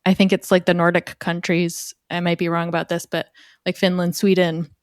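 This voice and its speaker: A woman's voice: fairly low pitch, flat delivery, moderate pace, no echo, clean studio sound. A woman speaking casually as a podcast guest, saying "like" often. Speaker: product designer guest. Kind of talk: conversational podcast interview.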